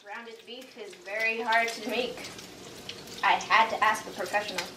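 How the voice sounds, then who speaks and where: A young woman playing a deadpan, morbid killer animatronic rabbit who taunts bluntly in an improvised cosplay skit. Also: fairly high pitch, normal speed, echoey room, close to an everyday voice. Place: home kitchen and dining room. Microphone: camera at a distance. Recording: distant camera audio, noisy home room.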